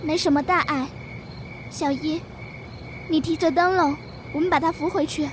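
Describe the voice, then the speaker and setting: A woman's voice: high-pitched, steady tone, normal speed, a little hiss or hum, close to an everyday voice. A woman acting a brave, protective young servant in an audio drama, speaking in a firm, urgent, reassuring way. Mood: calm, reassuring. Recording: music behind the voice.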